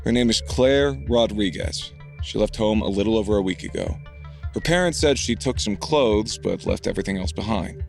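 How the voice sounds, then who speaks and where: A man's voice: fairly low pitch, normal speed, creaky, darkish tone, faint music behind. A man playing a curious, casual, slightly hesitant investigator in an audio drama. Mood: puzzled. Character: hospitable, curious male investigator puzzling over clues.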